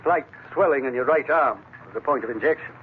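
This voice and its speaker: Actor as sardonic island doctor, tinny old radio. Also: male voice, medium pitch, a little fast, lightly acted. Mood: cynical. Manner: wordy, sardonic, mock-genteel. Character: cynical, eloquent crooked island doctor, sardonic. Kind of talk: 1940s radio acting. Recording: tinny, noisy vintage radio recording.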